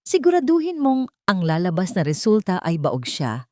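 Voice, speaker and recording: A cruel, haughty rich matriarch from an audio romance drama, played by a woman narrator. She speaks in a slightly low voice, cold and commanding, with open contempt. The recording is very dry. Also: huge pitch swings, normal speed, darkish tone, no room sound. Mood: cold, plotting.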